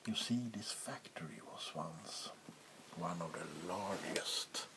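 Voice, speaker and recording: Man playing a whispering, very slow vampire warlord. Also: deep voice. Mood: proud, gloating. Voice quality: breathy whisper. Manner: slow, deliberate, menacing. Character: ancient power-hungry vampire warlord, male, coldly commanding. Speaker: ASMR roleplay performer. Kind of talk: soft-spoken ASMR roleplay monologue. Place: small wood-panelled room indoors.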